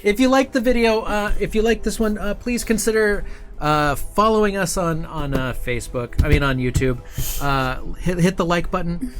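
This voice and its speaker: Brief: middle-aged male show host, rambling friendly outro, lapel mic in a small studio. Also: on the high side. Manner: loose, filler-heavy, friendly sign-off. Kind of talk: casual live-stream outro.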